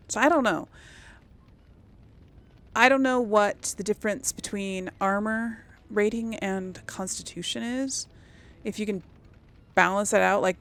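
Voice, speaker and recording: Slow, creaky-voiced woman gamer. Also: medium pitch. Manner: musing aloud to herself. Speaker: let's play host. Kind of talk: casual game commentary.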